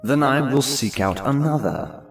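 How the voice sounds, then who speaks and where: An actor playing a cynical, indolent manor lord with a sardonic, pompous, lecturing manner in a fantasy audiobook. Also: male voice, mid-range voice, animated, measured pace. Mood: wry, wary. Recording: music behind the voice.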